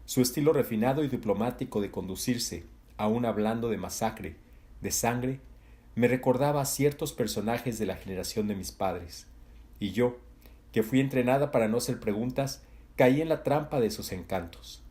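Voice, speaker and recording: A man narrating a literary novel as an audiobook in a measured, even delivery. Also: medium pitch.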